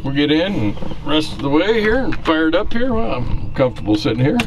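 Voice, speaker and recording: A man giving a casual walkaround of a car, calmly listing its features with a few fillers, recorded outdoors on a handheld camera. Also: a little high, a little fast, highs cut off, very noisy. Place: outdoors in a park parking lot.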